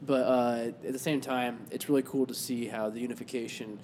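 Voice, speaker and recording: A man's voice: mid-range voice, fairly even intonation, brisk, a little mellow, slight background noise. Rambling, easy-laughing podcast host man. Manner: loose, rambling, laughs easily. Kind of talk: casual chat.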